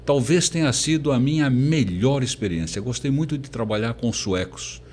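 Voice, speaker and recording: An older man with a mid-pitched voice speaks as a professor being interviewed. He tells his story conversationally, pausing and repeating words as he searches for them. A faint noise sits behind the voice. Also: darkish tone. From born in Portugal, emigrated very young. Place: recording studio.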